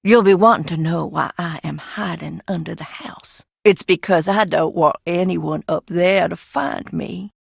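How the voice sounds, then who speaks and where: A woman playing an eccentric, unbalanced recluse in a video game, speaking theatrically and cryptically with dramatic pauses and a darkly playful edge. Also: fairly low pitch, creaky, husky, dry recording, studio quality, full character voice. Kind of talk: video game character dialogue.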